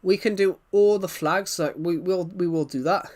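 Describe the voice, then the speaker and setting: Male livestream host, slightly high-pitched, casual gamer chat, rambling and stuttering as he reads out comments. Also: normal speed, darkish tone.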